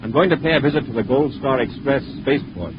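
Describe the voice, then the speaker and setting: An actor playing an assured, authoritative space patrol commander in a 1950s radio adventure. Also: male voice, mid-range voice, lightly acted. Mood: businesslike. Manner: brisk, assured. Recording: dull, noisy 1950s radio recording.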